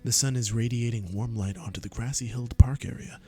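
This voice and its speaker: A man in his twenties with a strained, tiring voice, reading a visual novel aloud in a slow, deliberate storybook manner with dry self-mocking asides. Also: mid-range voice, fairly even intonation, dark tone, breathy edge. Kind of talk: dramatic read-through of game text with asides to friends.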